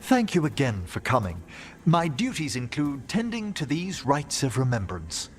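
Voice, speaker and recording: A man playing a scholarly gentleman necromancer in a fantasy game, speaking formally and eloquently with a theatrical manner. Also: mid-range voice, wildly swooping pitch, normal speed. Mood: genial, polite. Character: courtly, scholarly gentleman necromancer, genial and solemn.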